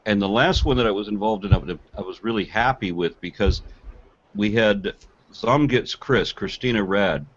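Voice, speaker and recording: Low-voiced man co-hosting a casual podcast. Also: moderate pace, muffled recording. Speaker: podcast co-host.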